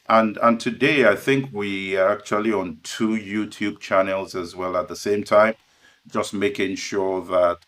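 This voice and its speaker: A man's voice: fairly low pitch, a bit bright. A middle-aged man co-hosting a live online author interview show, speaking indoors over a video call. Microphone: video-call microphone.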